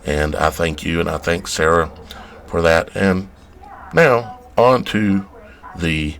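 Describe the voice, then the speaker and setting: Gravelly low-voiced man hosting a show. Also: slow pace, fairly bright tone. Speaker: show host. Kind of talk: casual spoken introduction to viewers.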